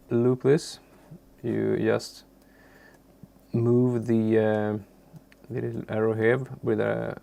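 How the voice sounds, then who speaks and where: Halting, very gravelly man giving a tutorial. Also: medium pitch, a little mellow. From Sweden. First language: Swedish. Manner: halting, searching for words, unassuming. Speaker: music software tutorial presenter. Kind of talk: informal screen tutorial, unscripted. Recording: faint background noise, keyboard clicks.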